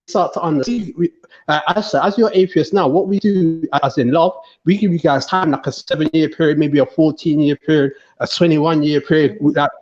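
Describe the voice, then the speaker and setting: A man's voice: fairly high pitch, darkish tone, muffled recording. Restless man debating on an online panel. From Montserrat. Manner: repetitive, restarts often, preachy.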